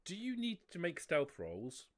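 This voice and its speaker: A man's voice: a little high, wildly swooping pitch, a little slow, fairly bright tone. A man acting as game master, explaining rules and story to players at a tabletop roleplaying game.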